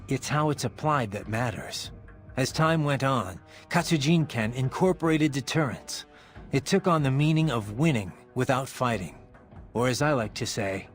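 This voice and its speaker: A man's voice: medium pitch, close to an everyday voice. A man acting an earnest cyborg swordsman over music. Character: battle-hardened male cyborg swordsman, earnest, philosophical. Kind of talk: video game voice acting.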